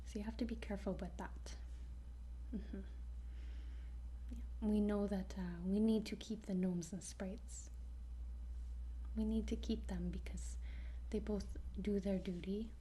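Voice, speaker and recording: A woman speaking in a soft, breathy whisper, gently and hesitantly explaining things in an improvised ASMR roleplay, with frequent pauses. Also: medium pitch, steady tone, a little hiss or hum, low-quality recording. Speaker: ASMR performer playing a dragon training guide.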